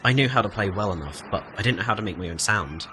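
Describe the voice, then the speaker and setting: Casting: easygoing, self-deprecating young guy, lively pitch movement, bright voice, naturalistic audio drama, faint background noise. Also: fast. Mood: modest, matter-of-fact. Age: young adult. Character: laid-back young working man, former guitarist, modest, joking. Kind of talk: naturalistic audio play dialogue.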